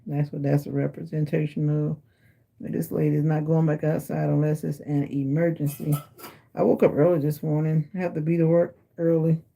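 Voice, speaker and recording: A low, gravelly woman's voice, husky and raspy from a sinus infection, talks casually and drowsily to her livestream viewers. She sounds worn out after an early workday and teases people in the chat by name. Her tone is dark and mellow, heard through a phone at home. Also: little pitch movement, normal speed, poor audio. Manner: chatty, teasing viewers by name. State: sleepy and exhausted. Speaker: livestream host. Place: at home indoors.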